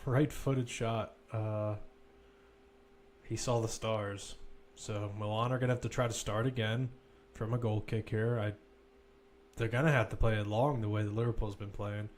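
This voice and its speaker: A man's voice: fairly low pitch, steady tone, moderate pace, a little hiss or hum. A man commentating live on a football match, mixing play-by-play calls with informal, conversational analysis.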